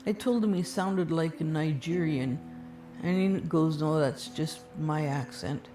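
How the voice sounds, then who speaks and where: A woman's voice: sits low, unhurried. Documentary interviewee, woman, matter-of-fact, faint guitar bed. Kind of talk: recounting a personal story. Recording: clip with soft music underneath.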